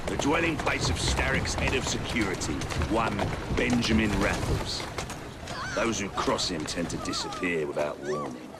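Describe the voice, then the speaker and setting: An actor playing a theatrical, silky and menacing middle-aged Victorian crime lord with a British accent in a video game. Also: male voice, on the low side, moderate pace, very noisy, close to an everyday voice. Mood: suave, sly. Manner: theatrical, grandiloquent, swooping delivery. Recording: loud music behind the voice.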